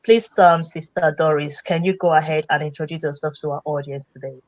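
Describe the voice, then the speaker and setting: A woman with a low voice hosts a casual live stream over a video call, chatty and full of fillers. The audio is dry and dull, with a lo-fi muffled tone. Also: fairly even intonation, fairly quick, dry recording. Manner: warm greetings with frequent fillers. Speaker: host.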